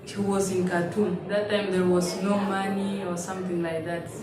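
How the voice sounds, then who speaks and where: A woman, telling her story in halting, simple English with frequent restarts. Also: fairly low pitch, little pitch movement, a crowd in the background. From South Sudan. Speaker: interviewee, refugee. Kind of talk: personal testimony in an interview. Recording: roomy, distant mic, noisy ambience.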